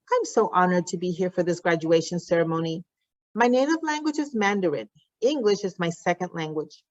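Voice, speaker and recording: A woman with a mid-pitched voice delivers a formal scripted ceremony narration as an announcer. She reads deliberately and enunciates each phrase. The sound is dry and close, with a slightly dull, lo-fi finish. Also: dry recording. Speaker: announcer reading out graduates' names and messages.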